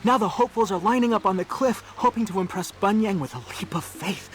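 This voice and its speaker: A man's voice: high voice, quick pace, music in the background, natural voice. A man playing an earnest, timid young man in a video game, apologetic, fretful and given to complaining. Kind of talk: video game character acting.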